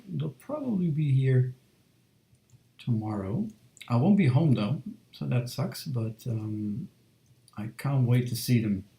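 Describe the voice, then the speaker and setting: A man in his thirties chatting casually on a live stream while he draws, soft and relaxed, with lots of fillers as he reads chat. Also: medium pitch, vocal fry, reverberant. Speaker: streamer drawing fan art. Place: home studio room.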